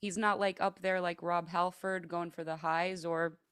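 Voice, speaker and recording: A woman's voice: on the low side, flat delivery, normal speed, fairly bright tone, clear, resonant tone, no echo, studio quality. A woman who sings metal, guest in a casual interview, talking in a chatty way with many fillers and easy laughs. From Greece and the United States.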